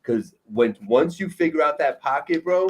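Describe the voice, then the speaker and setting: A man's voice: fairly high pitch, expressive, normal speed. Encouraging slangy male talk-show host. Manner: encouraging, slangy, keeps saying "you know what I'm saying". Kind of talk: casual talk show chat.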